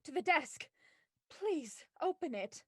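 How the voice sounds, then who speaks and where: Woman acting a high-voiced, desperate young heiress. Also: big pitch swings, slow, a bit bright, heavily acted. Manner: pleading, desperate. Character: frightened, pleading young heiress in a murder mystery. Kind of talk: radio murder mystery acting.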